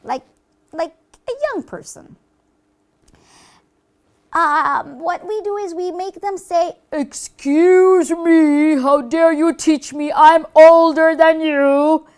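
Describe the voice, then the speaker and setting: A woman's voice: very high-pitched, slow pace, a little mellow, voice pushed up. A woman voicing a boastful, gleeful demon henchman in a puppet show, chatty and theatrical as the demon gloats. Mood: gloating, diabolical.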